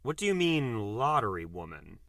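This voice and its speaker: A man's voice: lowish voice, big pitch swings, normal speed, vocal fry, fairly bright tone, no echo, studio quality, full character voice. An actor playing an earnest, anxious, naive man among prisoners in an audio drama, hesitant and reactive.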